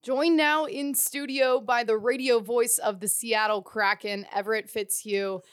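A woman's voice: a little high, fairly even intonation, unhurried, clear, resonant tone, no echo. An American woman from Ohio hosting a sports podcast, moving between scripted news and studio interview questions. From Ohio, United States.

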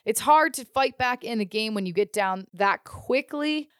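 A woman's voice: on the high side, animated, normal speed, smooth, no echo, studio quality. A woman hosting a sports show, delivering a scripted recap of the action.

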